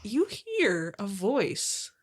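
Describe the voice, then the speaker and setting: A woman's voice: medium pitch, huge pitch swings, drawn-out words, dry recording. A woman narrating a tabletop role-playing game as game master, in a casual conversational style. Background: music under the voice.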